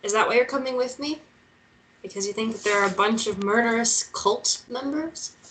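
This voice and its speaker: A woman's voice: on the high side, normal speed, a bit bright, distant mic, lightly acted, rough recording. A woman playing an inquisitive, plain-spoken and wry journalist in a screenplay table read. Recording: clear background noise.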